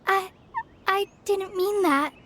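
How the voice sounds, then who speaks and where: A shy young girl from a video game. She speaks gently and anxiously, hesitating and stumbling over her words in an apologetic way. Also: extremely high pitch, takes it slowly, heavy background noise. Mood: nervous, apologetic. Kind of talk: animated video game character acting.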